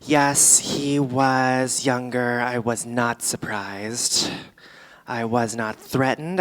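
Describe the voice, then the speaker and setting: A performer playing a boozy, world-weary middle-aged female comic, doing a rambling, deadpan stand-up monologue live on a theatre stage. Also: mid-range voice, clear, resonant tone, long reverb tail, lightly acted. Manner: rambling, deadpan, theatrical, swinging between asides and outbursts.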